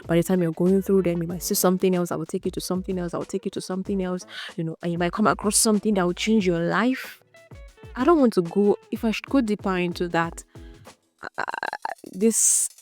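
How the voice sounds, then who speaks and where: A young woman with a Nigerian Igbo accent hosting a solo podcast, thinking out loud in an unscripted, chatty way and laughing often. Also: on the low side. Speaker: podcast host.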